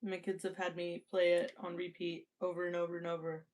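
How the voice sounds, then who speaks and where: A woman's voice: on the low side, flat delivery, brisk, a little mellow. A woman co-hosting a casual livestream, chatting playfully and reading Bible passages aloud. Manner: playful, chatty, reads scripture steadily. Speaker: livestream co-host.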